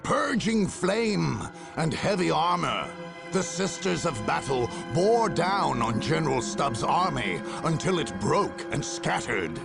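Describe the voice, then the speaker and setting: An actor playing a grave, grandiose male war chronicler, narrating a game story in a theatrical, deliberate way. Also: fairly high pitch, sing-song, creaky, loud music behind the voice, exaggerated voice. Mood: grave, righteous. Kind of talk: dramatic scripted game narration.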